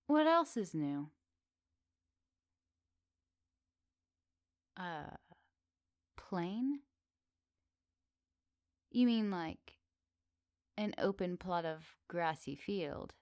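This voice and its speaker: Woman playing a slow-spoken teasing witch. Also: lowish voice, big pitch swings, no room sound, studio quality, exaggerated voice. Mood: curious, amazed. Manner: soft, teasing, giggly, unhurried. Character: teasing, flirty, lonely witch in a woodland cottage. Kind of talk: intimate ASMR roleplay acting.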